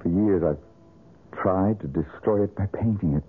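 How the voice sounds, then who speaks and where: An actor playing a bitter, brooding, tormented painter in 1970s radio drama style, sardonic and given to dramatic pauses. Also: male voice, deep voice. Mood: brooding, haunted. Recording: narrow-band old radio recording, music.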